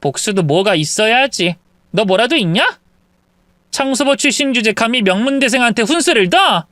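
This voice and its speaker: A woman voicing a scheming young mistress who taunts and shouts in a dramatized story. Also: expressive, moderate pace, no room sound, studio quality. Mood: mocking. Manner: taunting, shrill when shouting.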